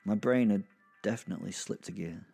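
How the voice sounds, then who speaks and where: A British man narrating a darkly comic audio drama in the first person, with wry, conversational, halting asides. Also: lowish voice, gravelly, warm tone, husky, soft background music.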